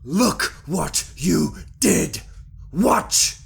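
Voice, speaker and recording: A woman voicing a brutal armoured male villain in a fantasy audio drama, slow, rasping and cruelly taunting. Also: lowish voice, expressive, voice pushed down, exaggerated voice. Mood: cruel gloating. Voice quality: gravelly, rasping.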